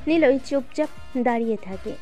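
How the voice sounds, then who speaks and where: Female storyteller, fairly high voice, steady dramatic narration, faint music. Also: moderate pace. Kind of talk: scripted audio story narration.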